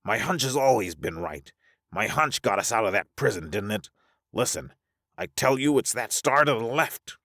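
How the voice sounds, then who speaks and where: A man's voice: lowish voice, normal speed, creaky, a bit bright, no echo, clean studio sound, voice pushed up. A man voicing a stubborn, desperate spaceman afraid of being alone, agitated and pleading, in a science fiction audiobook.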